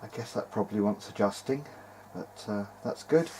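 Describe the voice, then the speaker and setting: Male hobbyist, lowish voice, hesitant, chatty DIY repair narration, faint background noise. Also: moderate pace. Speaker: vintage hi-fi hobbyist narrating own repair video. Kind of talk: informal hands-on repair video diary.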